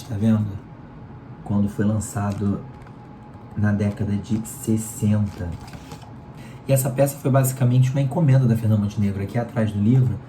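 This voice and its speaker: Hoarse carioca dude chatting about a book, lowish mellow voice, fan humming faintly. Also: male voice, slight background noise. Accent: Carioca Brazilian Portuguese. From Rio de Janeiro, Brazil. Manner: chatty, leans on "né?" tags. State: hoarse, sore throat from a cold. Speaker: book reviewer. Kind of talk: casual book review talk. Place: room at home in Rio. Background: electric fan running.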